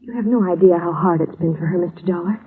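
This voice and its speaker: A woman's voice: on the low side, quick pace, slight background noise, lightly acted. An actress playing a grieving, polite young woman in a 1950s radio drama, earnest and subdued. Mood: earnest, sad. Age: young adult. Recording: muffled, tinny old radio recording.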